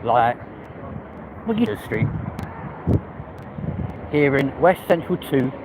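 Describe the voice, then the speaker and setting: A man's voice: mid-range voice, animated, slow pace. Chatty London man on a city street. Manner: chatty, banters with viewers' comments, London slang. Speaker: live-stream host. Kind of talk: casual live-stream chat. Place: outdoors on a busy city street. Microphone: handheld phone. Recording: phone audio with loud street noise.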